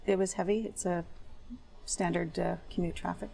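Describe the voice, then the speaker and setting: A woman's voice: lowish voice, fairly even intonation, moderate pace, vocal fry, warm tone. A woman playing a middle-aged plaintiff giving brief, matter-of-fact testimony in a legal training drama.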